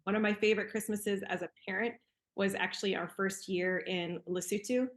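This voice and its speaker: A woman's voice: medium pitch, fairly even intonation, a little fast. Easygoing woman in her forties reminiscing. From Cameroon. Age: 40s. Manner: warm, easygoing, with fillers and laughs. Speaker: podcast guest. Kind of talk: casual reminiscing.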